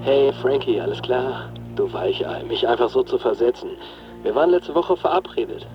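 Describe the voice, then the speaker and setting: A man's voice: medium pitch, lively intonation, normal speed, lightly acted. Man acting a brash annoyed buddy, teasing, distant, dull recording, radio drama. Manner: blunt, matey. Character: brash, teasing male friend, annoyed at being stood up. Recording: distant muffled voice, loud music.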